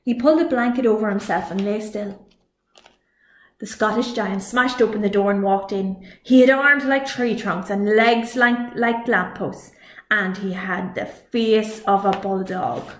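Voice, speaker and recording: A woman reading a children's story aloud in an expressive storytelling way. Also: mid-range voice, unhurried. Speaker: librarian reading a picture book. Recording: echoey room.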